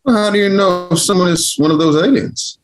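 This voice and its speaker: A man's voice: high voice, fairly quick, natural voice. A man playing a curious, earnest young boy in a screenplay table read, asking short, inquisitive questions. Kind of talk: table read of a film script.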